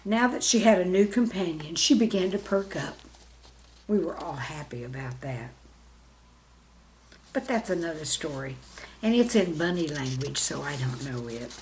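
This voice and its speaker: A woman's voice: low voice, animated, normal speed, darkish tone, a little hiss or hum, poor audio. A woman reading a children's story in a simple, friendly way, as if speaking directly to young listeners. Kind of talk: gentle scripted storytelling for children.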